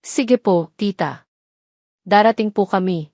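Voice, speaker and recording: A woman's voice: mid-range voice, slow pace, mellow, no echo. A nervous young mother from an audio story, performed by a woman narrator. She is deferential and hesitant, keeping her guard up. The recording is very dry. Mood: hesitant, polite.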